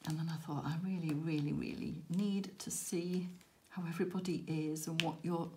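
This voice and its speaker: Woman, croaky, tired, rambling chat, low mellow tone, faint background hiss. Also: fairly even intonation, normal speed. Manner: rambling, self-deprecating, laughing often. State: croaky, tired after a late night. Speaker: crafting channel host. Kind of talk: chatty crafting vlog. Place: indoors at a crafting table.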